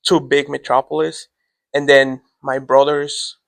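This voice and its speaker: A man whose first language is Spanish, a teacher answering interview questions in a relaxed, rambling way that trails off. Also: mid-range voice, a little slow, vocal fry, no room sound.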